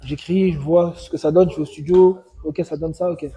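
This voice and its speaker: A man with a high, honeyed voice talks fast in loose street slang, often trailing off into quiet asides. The tone is mellow and soft rather than bright. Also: steady tone. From Congolese family. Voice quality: high, smooth voice. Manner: laid-back street slang, many restarts, trailing low asides. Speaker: rapper being interviewed. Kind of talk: casual interview chat.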